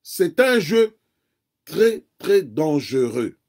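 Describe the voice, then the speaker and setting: A man from the DR Congo with a Congolese French accent, a native Kikongo speaker, giving earnest, deliberate political commentary as an interview guest. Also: fairly high pitch, big pitch swings, darkish tone.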